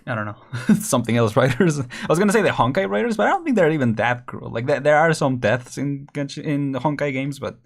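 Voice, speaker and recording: A man's voice: medium pitch, expressive, brisk, vocal fry, hardly any pauses. A man casually commenting while streaming a story game, chatty, thinking aloud and laughing often.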